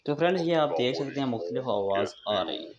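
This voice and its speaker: A man's voice: mid-range voice, sing-song, fast talker, crowd noise. A man hosting a tech tips channel, narrating a casual step-by-step app tutorial to his viewers. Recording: dull, lo-fi voice-over recording.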